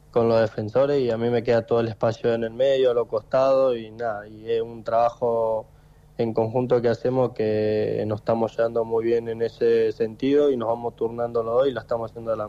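Young Argentine footballer on a dull phone line. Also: male voice, mid-range voice, level tone, dark tone. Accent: Argentine Spanish. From Tucumán, Argentina. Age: 20s. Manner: halting, repeats words, many fillers. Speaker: footballer guest. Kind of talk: radio phone interview.

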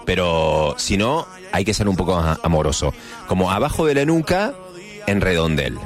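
Argentine guy hosting morning radio, cordoba accent, jokey and chatty, bouncy voice, low music behind. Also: sing-song, moderate pace. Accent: Argentine, Córdoba. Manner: chatty, jokey asides, playful mock voices. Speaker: radio host. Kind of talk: casual morning radio chat.

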